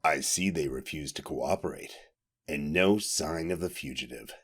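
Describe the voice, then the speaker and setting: A man playing a stern, commanding Jedi adversary who speaks in a measured, formal, authoritative manner. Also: a bass voice, fairly bright tone, breathy edge, exaggerated voice. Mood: cold, menacing.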